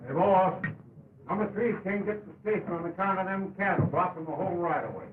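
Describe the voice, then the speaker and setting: A man's voice: high-pitched, a little fast, lightly acted. A man playing a breezy, boisterous cowhand in an early talkie Western, with an American accent and a joshing manner. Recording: tinny, noisy 1929 film soundtrack.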